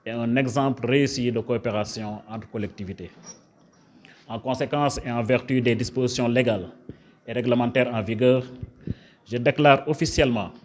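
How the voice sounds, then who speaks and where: Senegalese official, dull lo-fi sound. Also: male voice, medium pitch. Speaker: government official, prefect. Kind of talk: formal official ceremony speech. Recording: dull, lo-fi event recording.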